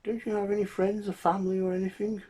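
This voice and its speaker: Man playing a kindly old grandfather, pitched up. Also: flat delivery, fast talker, dark tone, slightly rough. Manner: kindly, earnest. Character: gentle, lonely old blind grandfather.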